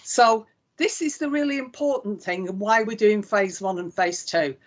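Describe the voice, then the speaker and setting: A woman with a Lancashire accent leads a live walkthrough of a website. She talks through each click in a relaxed, conversational way with plenty of ums. Her voice sits at an ordinary middle pitch. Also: normal speed. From Northwest England. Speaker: chief executive demonstrating a website. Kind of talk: live software demonstration.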